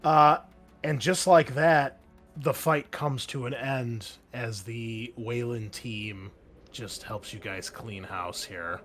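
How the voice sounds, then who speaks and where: A man's voice: mid-range voice, takes it slowly, fairly bright tone, faint music behind. Casual man narrating as game master. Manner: vivid, casual combat narration with fillers.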